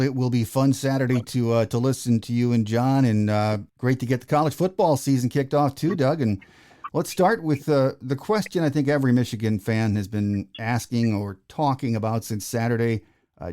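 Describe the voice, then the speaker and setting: Mellow, dark-voiced older American man. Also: medium pitch. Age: 60s. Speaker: sports podcast host, interviewer. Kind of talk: conversational interview.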